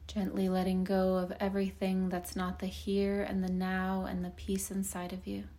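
A woman leading a guided meditation in a slow, soothing delivery, with long pauses and softly breathy phrase endings. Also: medium pitch, completely flat delivery.

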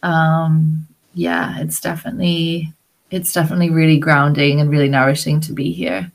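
Reflective woman guest, lowish voice. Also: level tone, normal speed, vocal fry. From British, raised partly abroad. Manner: reflective, rambling, thinks aloud with fillers. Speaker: women's mentor and podcast guest. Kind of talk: casual interview chat. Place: farm home in a village.

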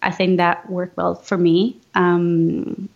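A woman talks softly and hesitantly, often pausing with an um. Her voice sits low for a woman. The sound is a little muffled, as if over a dull remote line. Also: little pitch movement, gravelly. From Venezuela. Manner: soft-spoken, hesitant, many ums. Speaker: graphic designer, guest. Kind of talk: casual interview.

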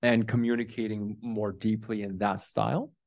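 An engaged interview host asks thoughtful, reflective questions. Frequent murmurs of agreement fill the gaps between questions. The voice sits at a middle pitch. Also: flat delivery, normal speed, vocal fry, darkish tone. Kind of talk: conversational interview.

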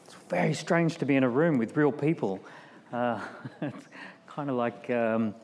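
A man's voice: mid-range voice, unhurried, a little mellow, slight background noise. A middle-aged man with an Australian accent giving a conversational keynote talk to a live audience. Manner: conversational, with fillers and restarts. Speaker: keynote speaker. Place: large room with live audience. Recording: long hall reverb.

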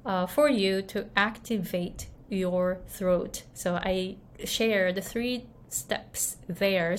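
A woman from Japan teaching voice in a video lesson, talking gently with many restarts and fillers. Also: medium pitch, slight background noise. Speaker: voice coach.

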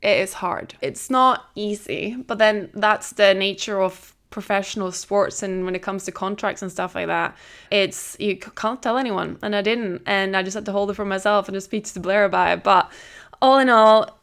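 Chatty woman with vocal fry. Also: medium pitch, moderate pace. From Norway. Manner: chatty, frequent "like" fillers, excited retelling. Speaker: podcast host. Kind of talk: casual solo podcast chat.

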